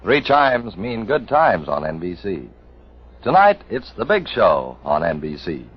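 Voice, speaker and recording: A man's voice: fairly low pitch, huge pitch swings, measured pace, slight background noise. A man delivering a scripted promo as a 1950s radio network announcer. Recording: muffled old radio broadcast.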